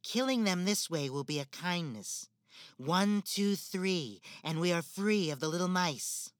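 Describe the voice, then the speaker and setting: A woman's voice: fairly low pitch, lively intonation, measured pace, no room sound, clean studio sound. A woman playing a cold, manipulative stepmother in a spoken fairy-tale drama. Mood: scheming. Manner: manipulative, icy, theatrical.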